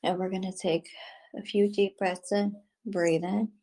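A woman leading a guided meditation, giving soothing spoken instructions in short phrases that sometimes drop to a hush. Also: mid-range voice, level tone, measured pace.

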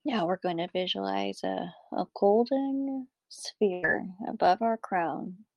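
A woman leading a guided meditation, giving calm instructions in short, measured phrases with breathy endings. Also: mid-range voice, no echo.

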